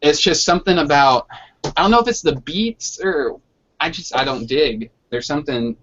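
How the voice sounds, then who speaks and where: A man's voice: medium pitch, fairly bright tone. A man chatting casually in slangy, sweary language over an online hangout call with dull, lo-fi sound. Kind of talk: casual chat. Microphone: online video hangout.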